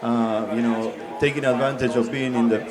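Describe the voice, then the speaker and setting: A man with a deep Southern accent, a college dean speaking on a panel, hesitant with many fillers. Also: steady tone, normal speed, a crowd in the background. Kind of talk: panel discussion remarks.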